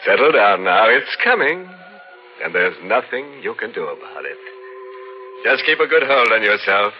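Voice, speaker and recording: An actor playing a teasing, ghoulish horror host in old-time radio, macabre and sardonic. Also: male voice, on the low side, sing-song, faint music behind. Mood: gleefully macabre. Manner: slow, macabre, sardonic, drawn-out. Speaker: host and narrator. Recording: muffled vintage radio recording.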